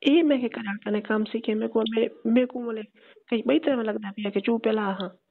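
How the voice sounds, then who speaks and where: Female caller, Saraiki accent, fairly high gravelly voice, casual intimate talk on a tinny phone line. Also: fairly even intonation, brisk. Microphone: telephone line. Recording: tinny phone call recording.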